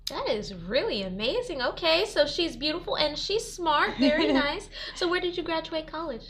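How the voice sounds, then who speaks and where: Bright, upbeat female interview host. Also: sits high, lively intonation, normal speed, slight background noise. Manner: upbeat, encouraging questions. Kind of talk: hosting a sit-down interview.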